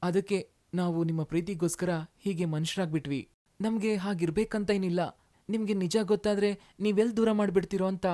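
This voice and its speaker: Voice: mid-range voice, fairly even intonation, fairly quick, dark tone, lightly acted. A narrator voicing an earnest, polite young male demon in human form for a story. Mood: confessing. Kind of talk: animated moral story dialogue.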